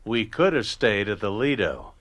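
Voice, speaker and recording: A man's voice: lowish voice, sing-song, normal speed, fairly bright tone. An actor playing a pedantic, good-humoured American father in a radio drama, explaining things like a guidebook. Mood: reluctant, amused. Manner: didactic, guidebook-like.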